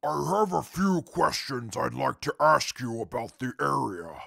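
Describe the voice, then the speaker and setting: A stern, disdainful companion who takes charge, acted by a man. The delivery is slow, curt and deadpan, deeply creaky and rough, in a dry audio-drama recording. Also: no room sound, heavily acted. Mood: businesslike. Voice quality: very gravelly, husky. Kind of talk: comic post-apocalyptic audio drama acting.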